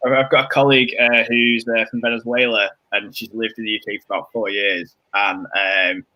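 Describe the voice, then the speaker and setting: A man's voice: fairly low pitch, level tone, a little fast, lo-fi sound. A man hosting a live stream, chatting casually and circling around his questions with many fillers. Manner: rambling, full of fillers. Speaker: host.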